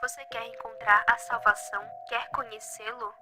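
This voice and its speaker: A woman voicing an eerie figure who asks unsettling questions in a hushed, insistent way. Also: on the high side, level tone, normal speed, soft background music. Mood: ominous.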